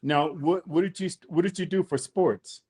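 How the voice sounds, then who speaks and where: A man's voice: on the high side, normal speed, mellow, husky. A man hosting a podcast interview, laid-back and easily amused, dropping dude and bro. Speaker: podcast host. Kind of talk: casual interview chat.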